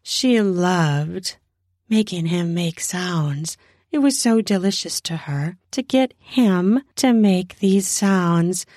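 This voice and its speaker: A woman narrates erotic fiction in a lowish, husky voice. She takes her time, lingering on words and stressing them. The sound is close and dry. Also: expressive, slow pace, darkish tone, no room sound. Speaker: narrator of an erotic audio story. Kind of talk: erotic story narration with acted dialogue.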